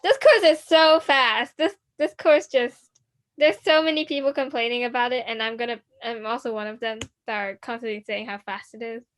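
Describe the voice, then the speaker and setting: A woman's voice: fairly high pitch, moderate pace. A teenage girl from Hong Kong co-hosting a student podcast, chatting casually with many restarts. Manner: rambling, many restarts and fillers. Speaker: podcast co-host.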